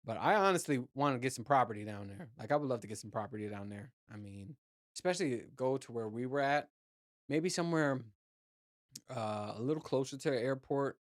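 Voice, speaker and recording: A man with a low voice, hosting his own show and telling a loose, profane travel story with lots of fillers and restarts. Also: animated, a little fast, no echo, studio quality. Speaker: podcast host. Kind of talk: casual solo chat.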